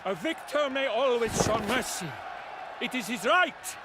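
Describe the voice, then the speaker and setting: An actor playing a florid tournament herald in a video game, declaiming grandly in a raised, shouting voice. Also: male voice, very high-pitched, lively intonation, measured pace, background noise. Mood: proclaiming, grandiose. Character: bombastic tournament herald proclaiming heroic deeds to crowd. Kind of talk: theatrical game announcer. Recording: very loud game music behind.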